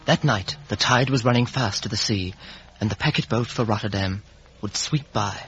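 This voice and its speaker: An actor playing an earnest, loyal young gentleman, speaking articulately in a 1950s radio drama. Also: fairly low pitch, normal speed, soft background music, lightly acted. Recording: faintly noisy old radio recording.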